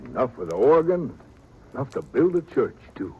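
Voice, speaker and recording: An actor playing a proper, apologetic small-town reverend in a 1950s radio western, hesitant and stammering. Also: male voice, medium pitch, sing-song, normal speed, slightly rough, narrow, tinny audio. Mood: amazed. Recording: slightly noisy old radio recording.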